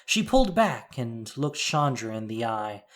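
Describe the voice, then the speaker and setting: Expressive story narrator, lively pitch, medium register. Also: measured pace.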